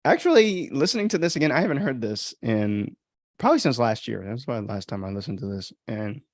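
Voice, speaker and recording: A man gives casual, self-critical commentary as a podcast host. His voice has a lot of creak and sits in a dry recording. He is informal and works through his thoughts as he talks. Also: mid-range voice, sing-song, quick pace, no echo. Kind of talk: casual livestream commentary on own audio drama.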